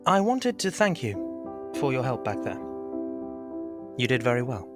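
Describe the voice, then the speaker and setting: A man's voice: medium pitch, very animated, normal speed, music in the background, natural voice. An actor playing a fatherly, courteous Victorian doctor, speaking formally and reassuringly in a period audio drama. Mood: grateful, encouraging.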